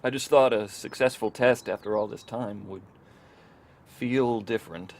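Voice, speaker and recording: An actor playing an awkward, hesitant male research scientist in an audio drama, stammering and halting with false starts. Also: on the low side, a little mellow, lightly acted. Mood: flustered.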